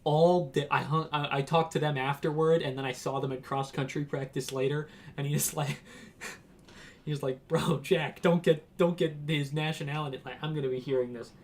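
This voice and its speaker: A man's voice: on the high side, steady tone, moderate pace, a little hiss or hum. A young man from Washington telling a casual, rambling story, laughing and acting out quotes. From Washington, United States. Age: young adult. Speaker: vlogger.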